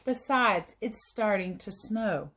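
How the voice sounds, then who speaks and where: A woman's voice: medium pitch, animated, slow, fairly bright tone. A woman voicing a tired, sick and tenderly protective dressmaker mother with a weak voice in a storybook. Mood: worried, protective.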